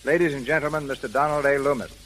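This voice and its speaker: A man's voice: medium pitch, steady tone, racing. A man announcing from a script in 1930s radio style. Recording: noisy 1930s radio recording, faint audience.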